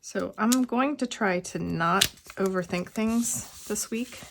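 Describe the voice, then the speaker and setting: A middle-aged woman with a cold and a slightly hoarse voice, musing aloud in a casual plan-with-me vlog at home. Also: medium pitch, slight background noise. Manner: unhurried, musing aloud while crafting. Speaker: planner vlogger. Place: quiet home.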